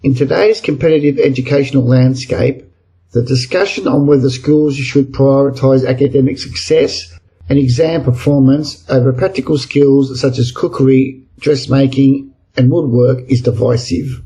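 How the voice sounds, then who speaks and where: A man's voice: medium pitch, fairly even intonation, moderate pace, dark tone, slightly rough, low-quality recording. A man with an Australian accent reading an exam essay as scripted narration. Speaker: essay reader.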